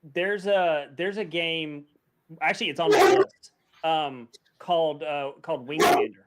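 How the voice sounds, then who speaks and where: A man's voice: fairly high pitch, measured pace, over a noisy crowd. A man co-hosting a podcast, chatting casually. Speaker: podcast co-host. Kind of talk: casual chat.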